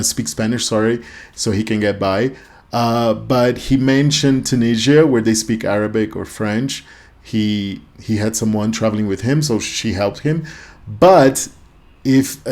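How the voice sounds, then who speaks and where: A man's voice: mid-range voice, a little mellow. A young man hosting a podcast, reacting in a loose, rambling way with many fillers and restarts. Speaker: podcast host. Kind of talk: casual reaction commentary.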